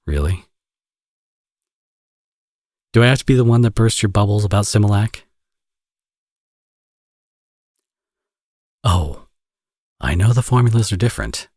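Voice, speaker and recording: A man's voice: on the low side, a little mellow, no room sound, studio quality. A man playing a flirty, smug villain who dotes as a father, in a romantic ASMR audio roleplay with playful, conversational delivery. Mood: teasing, smug. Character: teasing, flirty male villain, now a doting father.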